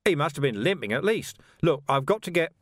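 A gruff middle-aged policeman, as a male reader puts him on, blunt and pushing for answers. The delivery rises and falls lively. The storybook recording is dry and close. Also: on the high side, brisk, no echo, pitched above the performer's own voice. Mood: urgent. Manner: blunt, urgent.

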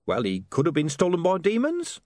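Here is a shifty middle-aged salesman from a comic storybook, acted out by a man. He wheedles and excuses himself in a matey way. His pitch rides high and jumps about, in a very dry recording. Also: wildly swooping pitch, fairly quick, darkish tone, no echo. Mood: self-justifying. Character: shifty, cheerfully unscrupulous middle-aged male street huckster.

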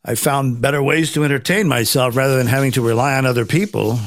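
A man hosting a casual political commentary chat, rambling and halting as he often repeats his phrases. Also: medium pitch, steady tone, dry recording, studio quality. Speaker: talk show host.

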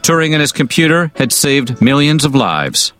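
A man's voice: on the high side, very animated, normal speed, music in the background. A man reading scripted history documentary narration with a casual, wry turn of phrase.

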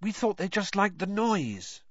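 A man voicing a blunt, teasing teenage girl in an audiobook, lifting his voice higher and lighter. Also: sing-song, measured pace, husky, dry recording, full character voice. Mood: matter-of-fact. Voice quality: raised, lighter voice. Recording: dry, slightly dull studio sound.

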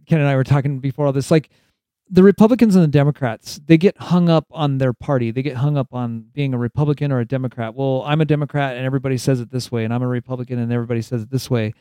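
A man's voice: mid-range voice, steady tone, racing, mellow, clean tone, dry recording. A man hosting a podcast in a casual interview, rambling along with frequent restarts and chuckles. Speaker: podcast host.

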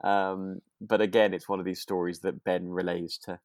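A man's voice: low voice, little pitch movement, moderate pace, a little mellow, no echo, clean studio sound. A man co-hosting a podcast, recapping a drama in casual, agreeable chat with hesitant, trailing asides. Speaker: podcast co-host.

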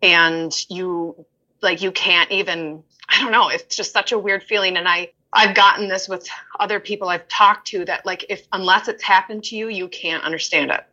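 A woman's voice: medium pitch, steady tone, moderate pace, bright, forceful tone, dull audio. A woman guest candidly telling a personal story in conversation, emphatic and acting out what people said to her. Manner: open, emphatic storyteller who acts out quotes.

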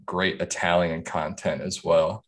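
Very low-voiced sarcastic man. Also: level tone, unhurried. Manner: dry, sarcastic joking. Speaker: podcast co-host. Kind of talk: casual chat.

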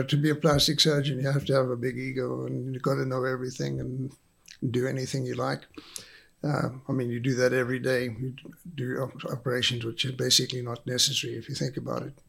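Husky older South African man. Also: mid-range voice, level tone, a bit bright. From Zimbabwe and South Africa. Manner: dry, self-mocking, unhurried storytelling. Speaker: guest. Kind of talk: casual interview.

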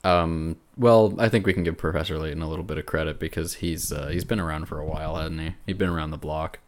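Low-voiced man in casual podcast banter. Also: fairly even intonation, fast, slight background noise. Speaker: podcast co-host. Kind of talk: casual chat about video games.

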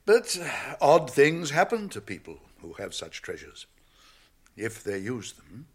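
A grave old British wizard in a radio play. His delivery is measured and secretive, full of cautionary weight, with a widely swinging pitch that keeps each phrase expressive. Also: slightly rough, exaggerated voice. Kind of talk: British radio drama acting.

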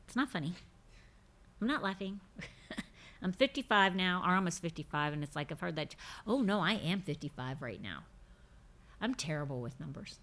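Low-voiced woman in her fifties. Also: animated, moderate pace, long pauses, a bit bright. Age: 50s. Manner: conversational, emphatic, repeats words when stressing a point. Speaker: podcast host. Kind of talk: casual faith talk answering listener questions.

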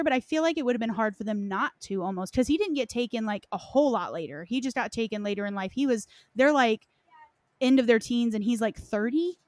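A woman with a fairly high voice hosts an informal chat, recorded close and dry. Her phrasing is loose and conversational, hedging as she goes. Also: darkish tone, dry recording. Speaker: host of a game lore discussion. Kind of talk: casual discussion.

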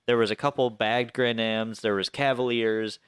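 A man's voice: medium pitch, fairly even intonation, normal speed, clean tone, no room sound. A thirty-year-old American man telling personal stories in casual, informal chat with some swearing. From Flint area, Michigan, US.

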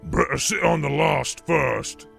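A man voicing a belligerent, brutish troll with a rough, coarse voice in a storybook reading. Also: fairly high pitch, takes it slowly, fairly bright tone, soft background music, full character voice. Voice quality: rough, gravelly. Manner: blustering, coarse rustic speech. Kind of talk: audiobook character voice.

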